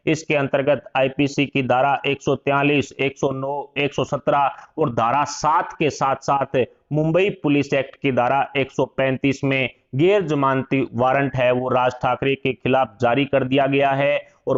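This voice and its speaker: Man narrating news, dull lo-fi sound. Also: mid-range voice.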